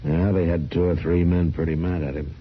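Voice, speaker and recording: A grave, weary lawman of the frontier, speaking tersely in an extremely low voice. It is an old radio western with dulled sound. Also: level tone, a little fast, husky. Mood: grim, weary. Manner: terse, sombre, plain-spoken. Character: grave, steady, world-weary frontier marshal. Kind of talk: old-time radio western acting and narration. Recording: dull old radio recording.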